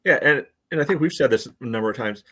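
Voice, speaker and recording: An American man co-hosting a casual soccer podcast, breaking down play in a halting way full of verbal tics. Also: mid-range voice, animated, sharp tone. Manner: halting, peppered with "you know". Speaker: podcast co-host and soccer supporter. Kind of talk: casual sports analysis chat.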